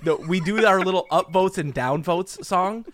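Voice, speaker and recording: A man's voice: sits high, normal speed, a bit bright, no room sound. A man co-hosting a podcast in casual chat, teasing and joking with his guests. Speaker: podcast co-host.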